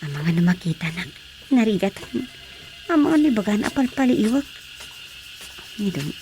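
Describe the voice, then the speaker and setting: A woman's voice: medium pitch, sing-song, moderate pace, warm tone, slight background noise, close to an everyday voice. A woman acting a caring, curious village woman in natural radio drama dialogue. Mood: furtive, wary.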